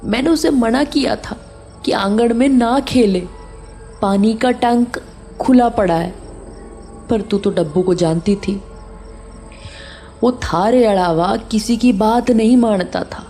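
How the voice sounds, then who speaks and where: A woman playing a remorseful older caretaker, halting and sorrowful in an audio horror drama. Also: medium pitch, dark tone, faint music behind. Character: remorseful older female caretaker confessing a terrible mistake.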